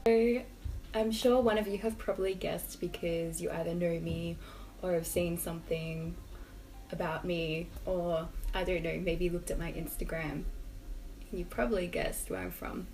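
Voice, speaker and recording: Chatty Australian woman with a gravelly edge. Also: fairly low pitch, steady tone, moderate pace, darkish tone, low-quality recording. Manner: chatty, rambling, hedging with "I don't know". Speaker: vlogger. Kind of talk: casual talking-to-camera vlog.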